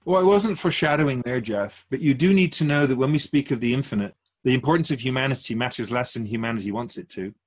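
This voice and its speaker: Give this voice to a wise, otherworldly keeper of souls played by a man in a radio drama. The delivery is measured and formal, with a slightly husky tone at a middle pitch. It counsels humanity like a patient teacher. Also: natural voice. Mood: measured, explaining. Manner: measured, formal, didactic, rhetorical questions.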